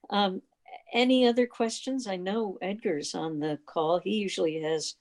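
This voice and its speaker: A woman's voice: medium pitch, measured pace. A woman informally moderating questions in an online club meeting.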